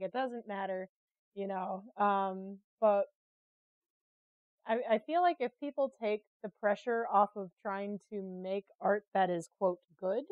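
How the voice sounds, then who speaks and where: A woman speaks in a chatty, candid interview style at a medium pitch. Her delivery is expressive and self-deprecating. The recording is close and dry, with a slightly dull, lo-fi sound. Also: dry recording. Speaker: interviewed artist and creative director. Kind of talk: casual, candid podcast conversation.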